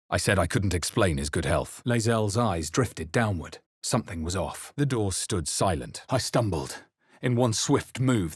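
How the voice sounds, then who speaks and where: Low, husky fantasy adventurer. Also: male voice, no room sound, clean studio sound. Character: male fantasy adventurer hero, earnest, wry, narrating his quest. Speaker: video game player-character voice actor. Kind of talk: dramatic game narration and short character barks.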